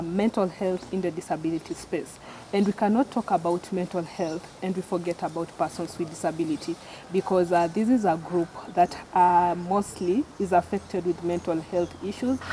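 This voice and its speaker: Casting: female talk host, soft dark tone on the lower side, conversational, with some ambient noise behind her. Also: rough recording. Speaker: interview show host. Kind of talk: conversational interview hosting.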